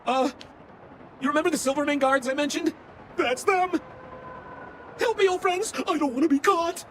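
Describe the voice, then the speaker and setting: A man's voice: extremely high pitch, moderate pace, a bit bright, slight background noise. A man voicing a slick, theatrical male con-man relic dealer in a video game, with glib, wheedling salesman patter. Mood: panicked.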